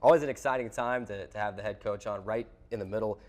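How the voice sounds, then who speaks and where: A male sports broadcaster hosts an interview show. His voice is on the low side, and he speaks in a conversational style. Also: quick pace, a little mellow.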